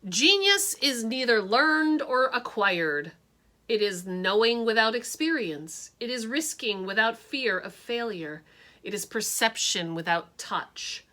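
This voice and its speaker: A woman's voice: a little high, lively intonation, bright, forceful tone, heavily acted. A woman voicing a short, stout, brusque teacher who speaks firmly and emphatically. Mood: stern. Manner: firm, emphatic, slow declaiming.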